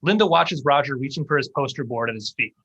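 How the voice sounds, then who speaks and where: A man's voice: mid-range voice, fast talker, fairly bright tone, husky, highs cut off. A man reading screenplay stage directions aloud as scripted narration at a table read.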